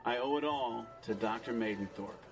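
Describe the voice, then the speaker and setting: A man's voice: medium pitch, moderate pace, lightly acted. An actor playing a smugly satisfied, self-assured man giving an advert testimonial in an audio drama. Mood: smug, pleased.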